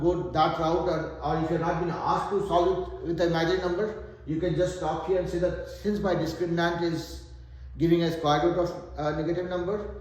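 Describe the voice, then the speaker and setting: A man's voice: on the high side, a little mellow. A man with an Indian accent teaching a math lesson, working through problems step by step and thinking aloud. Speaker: math tutor. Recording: very echoey room.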